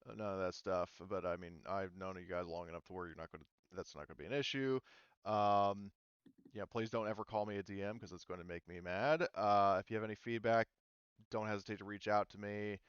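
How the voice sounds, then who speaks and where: A low, smooth male voice in a very dry, slightly muffled recording. He is a game master talking his group through the rules, informally and haltingly, feeling for his words as he goes. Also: fairly even intonation, dry recording. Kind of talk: casual group discussion of tabletop game rules.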